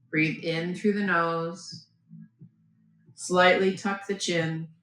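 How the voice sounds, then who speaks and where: A woman yoga teacher giving gentle, unhurried guided breathing and posture instruction, with pauses between phrases. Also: lowish voice. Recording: very echoey room, faint background noise.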